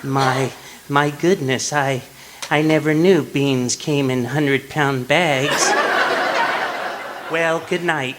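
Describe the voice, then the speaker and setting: An actor playing a meek, cheerful henpecked husband in a polite, prim manner, in old-time radio comedy style. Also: male voice, medium pitch, steady tone, slow, long pauses, close to an everyday voice. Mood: innocently cheerful. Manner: polite, mild, prim delivery. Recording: live stage recording with background noise.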